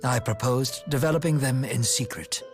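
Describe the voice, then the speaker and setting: An actor playing a courteous older gentleman strategist in a video game, speaking in a formal, measured, polite way. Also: medium pitch, lightly acted. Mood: composed, formal. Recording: loud music behind the voice. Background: loud background music.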